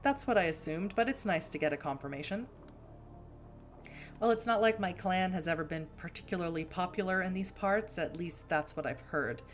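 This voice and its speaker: A woman's voice: fairly low pitch, normal speed, soft background music, lightly acted. A woman voicing a composed, formal aristocratic woman from Prague, with a made-up Czech accent.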